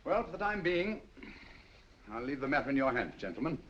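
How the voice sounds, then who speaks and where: A man playing a shrewd, calm detective in an old radio drama, speaking in a measured, courteous, dryly confident way. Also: mid-range voice, a little hiss or hum. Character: shrewd, calm male detective, middle-aged. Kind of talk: 1940s radio acting. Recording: dull old radio audio.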